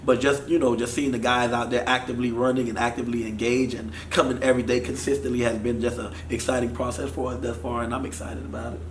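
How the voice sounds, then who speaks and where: A man in his thirties from Miami, a football coach talking in a casual interview, animated. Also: medium pitch, fast, creaky, hardly any pauses. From Miami, Florida. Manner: animated, gestures while talking. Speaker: football offensive coordinator. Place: studio set with sponsor backdrop. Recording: echoey room with faint noise.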